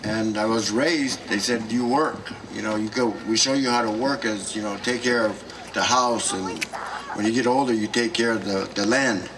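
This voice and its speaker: A man speaking at a gathering in a slow, halting way, with many pauses and fillers. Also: lowish voice. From South Dakota, United States. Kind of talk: halting public address. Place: outdoor gathering. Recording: distant mic with noise.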